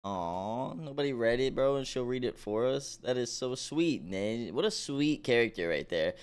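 A man's voice: medium pitch, lively intonation, normal speed, clear, resonant tone. A man giving slangy, appreciative reaction commentary as a video host.

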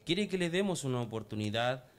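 Man acting an expressive, swooping devout deacon. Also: mid-range voice, a little fast, natural voice. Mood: hesitant. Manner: exclamatory, expressive. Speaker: radio drama actor. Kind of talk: amateur religious radio drama.

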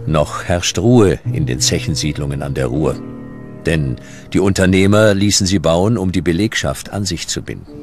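A man reading scripted history narration as a documentary narrator, in measured, dramatic short sentences. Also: low-pitched, over music.

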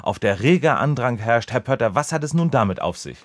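A dutiful male radio reporter, acted by a man in a comedy news parody. He speaks in formal, wordy sentences with a deadpan manner. The voice is mid-pitched and closely, dryly recorded. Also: brisk, dry recording, natural voice.

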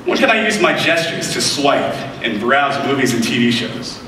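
A man's voice: medium pitch, big pitch swings, normal speed, pushed and bright, distant mic, a little hiss or hum. A young man giving an upbeat, scripted product demonstration on a large keynote stage. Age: young adult. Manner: upbeat, enthusiastic presenter. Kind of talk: scripted live product demo. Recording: echoey hall audio picked up from afar.

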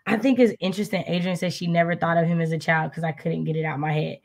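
A woman's voice: lowish voice, fairly even intonation, fast, gravelly, darkish tone. A woman in a casual book chat over a video call, informal, circling back and posing rhetorical questions. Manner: informal, repeats herself, asks rhetorical questions. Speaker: book discussion panelist. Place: indoor room, video call.

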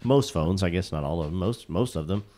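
A man around forty co-hosting a podcast in casual chat, with an easygoing, halting way of talking. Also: low voice, lively intonation, dark tone. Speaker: podcast co-host. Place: small indoor podcast studio. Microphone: close podcast mic on stand.

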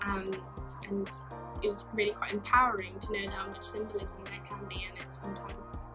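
Twenties, soft and reflective, casual fillers, music bed under a phone recording. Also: female voice, mid-range voice, little pitch movement, moderate pace. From Norway. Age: 20s. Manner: soft-spoken, thoughtful, many fillers like "like" and "you know". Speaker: artist. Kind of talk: reflective personal testimony to camera. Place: bright sunlit room, seated. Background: background music.

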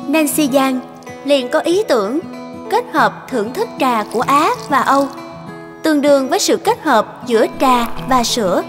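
A woman's voice: sits high, animated, moderate pace, in a large hall, music in the background. A woman narrator reading scripted storybook narration.

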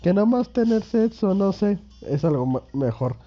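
A man's voice: sits high, very animated, moderate pace, warm tone, muffled recording, faint music behind. A young man in his twenties with a Mexican accent, sick with a cold, rambling through casual gameplay commentary with crude slang and self-mocking jokes. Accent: Mexican Spanish. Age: 20s. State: sick with a cold and sore throat. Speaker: gaming youtuber.

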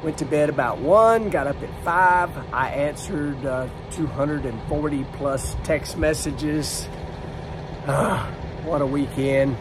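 Hoarse excitable man vlogging. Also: on the high side, takes it slowly, background noise. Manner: excitable, laughing, lets out loud woos. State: hoarse from screaming, lost voice. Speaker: drag racing driver and vlogger. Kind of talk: casual vlog to camera. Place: inside a trailer or motorhome. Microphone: phone camera at arm's length.

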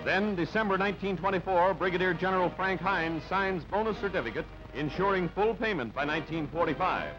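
A man's voice: high-pitched, fairly quick, hardly any pauses. A man reading scripted newsreel narration in a clipped, punchy, dramatic way on an old soundtrack. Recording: dull band-limited old newsreel soundtrack. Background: music.

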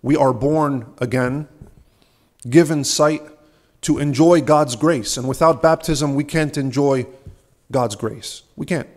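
A young man, a subdeacon, giving an earnest, measured sermon at a church lectern, asking rhetorical questions. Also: medium pitch. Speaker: subdeacon preaching. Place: large ornate church, at a lectern. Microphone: lectern microphone.

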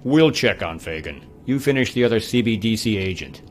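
An actor playing a brusque, ruthless government agent in a video game cutscene, giving clipped orders. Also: male voice, on the low side, expressive, a little fast, a little hiss or hum, close to an everyday voice. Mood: curt authority. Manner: clipped, commanding.

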